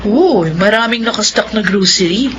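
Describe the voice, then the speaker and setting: An actress playing a caring eldest sister back from overseas work in a Filipino radio drama. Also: female voice, medium pitch, animated, moderate pace, highs cut off, natural voice. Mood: pleasantly surprised. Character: Divina, caring eldest sister, overseas worker returning home. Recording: faint background noise.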